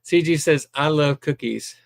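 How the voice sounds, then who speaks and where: A man's voice: fairly high pitch, moderate pace, creaky, no room sound, clean studio sound. An older retired man from Alabama with a Southern accent, hosting a live stream and chatting casually as he reads viewer comments aloud with plenty of ums.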